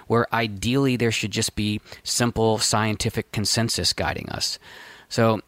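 A man hosting an interview, asking questions in a quick, casual way. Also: on the low side, a bit bright, dry recording. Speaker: podcast host. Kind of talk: conversational interview.

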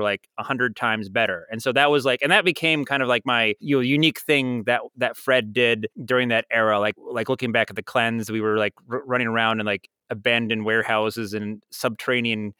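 A man's voice: medium pitch, fairly quick, hardly any pauses, clear, resonant tone, no echo, clean studio sound. A middle-aged American man telling anecdotes in a casual interview, rambling and acting out quotes as he goes. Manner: animated rambling storyteller, acts out quotes. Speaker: audio drama producer, guest.

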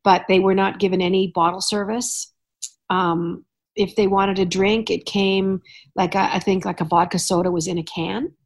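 A middle-aged woman from Canada hosting a relaxed podcast chat. She spins anecdotes with animated asides and easy laughter, at a medium pitch. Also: moderate pace. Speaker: podcast host. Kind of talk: casual chat.

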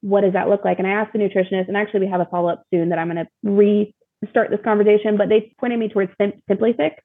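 Husky woman on a phone-like line. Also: mid-range voice, fairly even intonation, fast. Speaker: interview guest, mother of young child. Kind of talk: casual chat. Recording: thin phone-like call audio.

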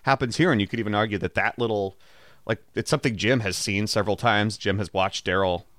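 A man's voice: fairly low pitch, quick pace, a bit bright, no echo, studio quality. A man co-hosting a podcast, chatting casually and informally about a TV show, often saying "like". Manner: informal, peppered with "like". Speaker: podcast co-host.

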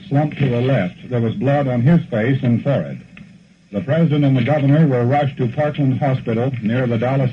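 Faintly noisy old off-air recording, man, medium pitch, live 1960s news anchor. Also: muffled recording.

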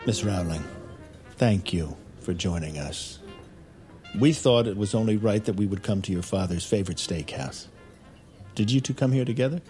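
Actor playing a low-voiced, condescending finance boss. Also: male voice, normal speed, warm tone, soft background music, lightly acted. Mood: courteous. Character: smooth, pressuring male senior finance boss. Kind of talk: naturalistic screen drama acting.

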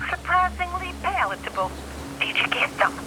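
An actress playing a cold, domineering older mother of a crime family in an audio drama, scolding in a withering, deliberate way. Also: extremely high pitch, big pitch swings, music in the background, low-quality recording. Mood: icy displeasure. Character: cold, cruel, domineering matriarch of a powerful criminal family.

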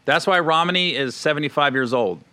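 A man's voice: on the high side, fairly quick, background noise. A man hosting a casual, joking interview in a teasing, colloquial way. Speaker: interviewer, show host.

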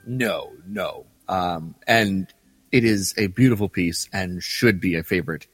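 A man's voice: low voice, measured pace, a bit bright, husky, dry recording, in a large hall, faint music behind. A man co-hosting a podcast in a casual, conversational style. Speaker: podcast co-host. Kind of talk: casual podcast chat, reading out listener results.

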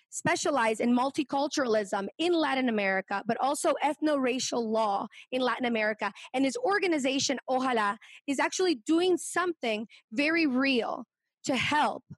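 High-voiced eager woman podcast host. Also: dry recording. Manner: enthusiastic, bilingual code-switching intro. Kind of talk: podcast interview hosting.

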